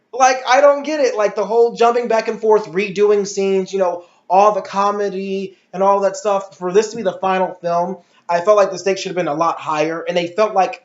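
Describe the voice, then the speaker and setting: An adult man giving a casual, opinionated movie review in a blunt, exasperated, slangy way. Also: high voice. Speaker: video reviewer and host. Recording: echoey room, dull lo-fi audio.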